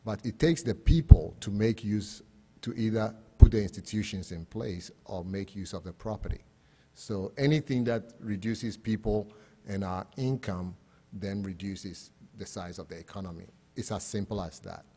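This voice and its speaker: Low, mellow Ghanaian man in an echoey hall. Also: moderate pace, breathy edge. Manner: explanatory, plain-spoken, poses rhetorical questions. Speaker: academic panelist presenting on economics. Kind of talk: public panel presentation. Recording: echoey hall with long reverb.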